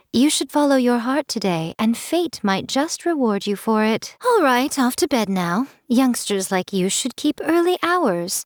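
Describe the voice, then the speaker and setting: A woman's voice: a little high, dry recording, studio quality, lightly acted. A woman voicing a playful, teasing fox spirit in a game, coy and amused as she talks. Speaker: game character. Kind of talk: game voice lines. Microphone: studio mic, dry.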